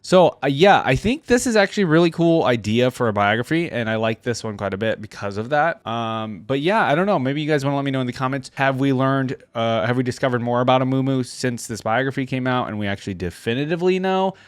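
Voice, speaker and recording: A man's voice: mid-range voice, lively intonation, quick pace, few pauses, dry recording. A man hosting a reaction video, moving between reading stories aloud and informal, conversational commentary. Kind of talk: casual reaction commentary mixed with story read-aloud.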